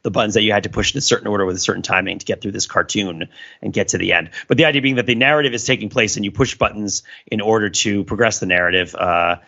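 A middle-aged man hosting a casual podcast, enthusiastic and wordy in a playfully formal way, with a somewhat hoarse voice. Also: lowish voice, fast talker, bright, forceful tone. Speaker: podcast host. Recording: dull, lo-fi audio.

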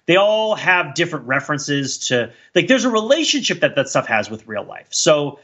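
A man co-hosting a podcast in casual, reflective discussion, thinking aloud in a halting, rambling way with repeated words. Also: fairly high pitch, very animated, bright, forceful tone, husky, lo-fi sound. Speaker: podcast co-host.

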